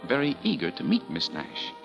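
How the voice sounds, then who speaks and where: An actor playing a suave gentleman of fifty, formal and polite, in 1940s radio drama style. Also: medium pitch, huge pitch swings, brisk, creaky, over music, rough recording. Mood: wry, reminiscing. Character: suave, courtly fifty-year-old gentleman, an aging charmer. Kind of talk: 1940s radio acting, with first-person narration. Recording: vintage 1940s radio broadcast.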